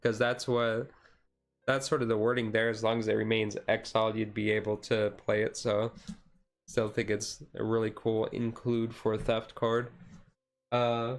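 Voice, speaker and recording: A man streaming a casual ASMR-style card showcase, easygoing and halting as he reads out cards, his voice a little rough and taken gently. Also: lowish voice, monotone. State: voice slightly rough, being taken easy.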